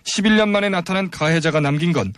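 Guy doing a formal news report, pretty high voice, steady delivery. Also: quick pace, dry recording, studio quality.

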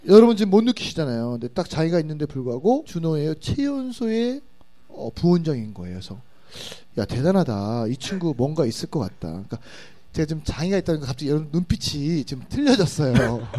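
A man's voice: a little high, big pitch swings, fast talker, warm tone. A middle-aged man co-hosting a live stage talk show, introducing guests and bantering.

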